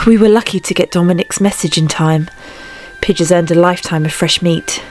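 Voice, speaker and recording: A woman's voice: lowish voice, steady tone, moderate pace, soft background music, natural voice. Earnest young warrior princess, played by a woman. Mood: relieved. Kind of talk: fan dub voice acting.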